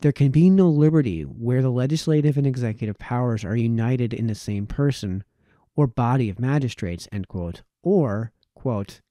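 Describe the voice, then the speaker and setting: A man reading an old political text aloud as an audiobook narrator, phrasing it formally and deliberately. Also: medium pitch, dark tone, no echo. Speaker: audiobook reader.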